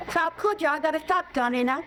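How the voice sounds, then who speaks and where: A woman's voice: sits high, quick pace, fairly bright tone. An actress playing a shy, wary young ranch girl in a vintage radio drama, speaking hesitantly. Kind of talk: 1950s radio drama acting. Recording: hissy old radio broadcast.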